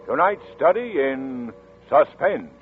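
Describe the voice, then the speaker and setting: A man's voice: medium pitch, slow pace, faint music behind. A man giving polished, formal announcer introductions for a 1940s radio show. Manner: polished, lively announcer cadence. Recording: tinny lo-fi 1940s radio broadcast.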